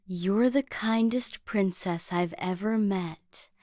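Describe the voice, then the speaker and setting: A sweet, timid little fairy, acted by a woman from a children's bedtime story. She speaks slowly in a gentle, plaintive way, in a dry, close sound. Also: mid-range voice, no echo, close to an everyday voice. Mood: relieved, grateful.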